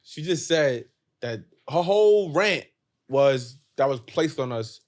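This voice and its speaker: A man's voice: on the high side, lively intonation, takes it slowly. A young man co-hosting a casual podcast who keeps interrupting to press his question. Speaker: podcast co-host.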